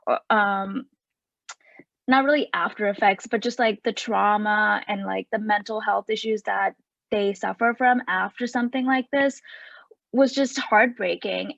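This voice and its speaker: Twenties woman from Sri Lanka, earnest activist guest, raspy edge, dull dry audio. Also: medium pitch, no room sound. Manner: earnest, fluent with fillers. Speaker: podcast guest, consent activist. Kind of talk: casual interview talk.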